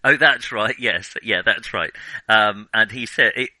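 Bright, dry-voiced man musing on film. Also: fairly low pitch, a little slow, no room sound, low-quality recording. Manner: hesitant, many ums and restarts. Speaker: podcast co-host. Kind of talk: casual film discussion.

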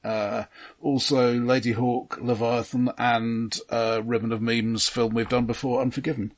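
A man co-hosting a film discussion, giving background in a measured, factual, halting way. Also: medium pitch, flat delivery. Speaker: film podcast co-host.

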